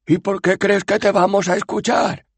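A man reading an audiobook aloud, voicing a sneering townsman who turns fawning, in a high, strained put-on voice. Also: steady tone, moderate pace, creaky, husky, no room sound, full character voice. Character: sneering male townsman, menacing then fawning.

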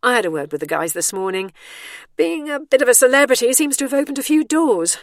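A woman's voice: a little high, animated, brisk, no echo, studio quality, pitched above the performer's own voice, natural voice. A woman voicing Bernice, a cheeky older heroine who is smug and breezy.

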